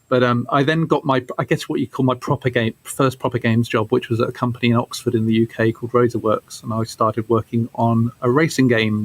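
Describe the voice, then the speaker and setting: A man in his forties answering interview questions casually, halting and thoughtful, as a guest game developer. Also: medium pitch, low-quality recording. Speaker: guest, game studio creative director.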